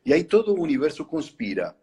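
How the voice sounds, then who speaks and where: Husky Argentine man speaking Portuguese slowly. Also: fairly high pitch, sing-song, mellow. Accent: Argentine Spanish. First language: Spanish. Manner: hesitant, restarts phrases, frequent "né?". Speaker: interview guest. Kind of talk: live interview, casual storytelling. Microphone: video call.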